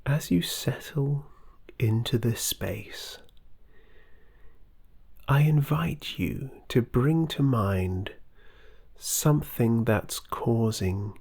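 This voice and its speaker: A meditation guide speaking softly and unhurriedly, with long pauses between short phrases. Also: medium pitch, slightly rough. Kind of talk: slow guided meditation narration.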